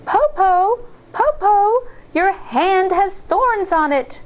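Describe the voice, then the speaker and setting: Woman voicing young girl, very high pitch, wary, questioning, childlike, storybook read-aloud, slightly muffled. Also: expressive, slow, exaggerated voice. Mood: puzzled.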